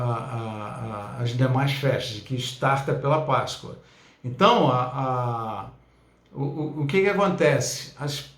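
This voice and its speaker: A man's voice: on the high side, reverberant. A man teaching a Bible lesson as a pastor, talking conversationally with frequent fillers and tag questions.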